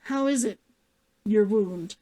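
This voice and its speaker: A woman's voice: medium pitch, takes it slowly, warm tone, natural voice. A woman voicing a stubborn, defensive female hunter in a story, with short, guarded replies. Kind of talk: fiction read-aloud character dialogue.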